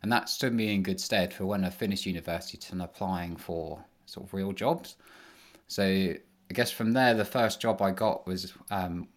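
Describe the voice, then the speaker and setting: A man's voice: low-pitched, moderate pace. A man, a podcast guest, answering casually and loosely with many fillers. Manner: relaxed, rambling with many fillers. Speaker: podcast guest, engineering manager.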